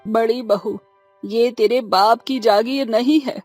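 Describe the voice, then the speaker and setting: A woman voicing a stern, weary older mother-in-law with a husky voice in a cartoon drama. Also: a little high, fairly even intonation, slow, darkish tone, faint music behind. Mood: scolding. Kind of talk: Hindi cartoon drama acting.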